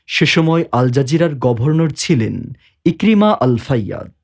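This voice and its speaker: A man's voice: mid-range voice, sing-song, unhurried, vocal fry, a little mellow. A man narrating a scripted story as its narrator. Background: background music.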